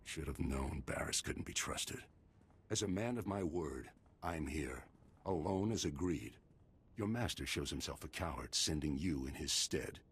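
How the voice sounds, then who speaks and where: An actor playing a grave, proud older Jedi Master in a video game, solemn, measured and raspy. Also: male voice, extremely low pitch, animated, heavily acted. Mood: bitter disdain.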